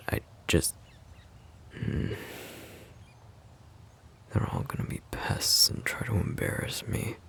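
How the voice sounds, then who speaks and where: A man acting as a shy, sweet wolf-shifter boyfriend in an ASMR audio roleplay. His voice is extremely low and full of crackling fry, often slipping toward a whisper. He talks slowly and hesitantly, in a subdued, tender way. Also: full character voice. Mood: flustered, sheepish. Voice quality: very deep, breathy, gravelly murmur. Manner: hesitant, halting, soft-spoken.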